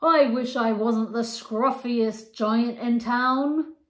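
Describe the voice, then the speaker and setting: A woman doing a gentle scruffy giant. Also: a little high, takes it slowly, roomy sound. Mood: sad. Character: shy, kindly scruffy giant, big and gentle. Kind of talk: storybook read-aloud.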